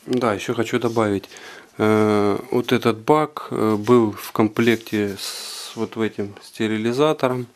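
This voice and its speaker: A man giving an unscripted walkthrough of his home brewing equipment, talking haltingly with many filler sounds, recorded indoors on a handheld camera. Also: medium pitch, a little mellow. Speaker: home brewer. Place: indoor room with carpet.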